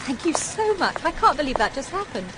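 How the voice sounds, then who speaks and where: An actress playing a girlish, excitable younger daughter with an upper-class British accent, gushing and breezy in a period radio drama. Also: sits high, fairly quick, noisy background. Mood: excited. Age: young adult.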